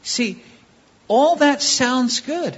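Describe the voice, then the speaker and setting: A woman preaching a sermon in short phrases, pausing for an interpreter and acting out little dialogues. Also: sits high, slightly rough. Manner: acts out short dialogues, lively. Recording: dull lo-fi recording.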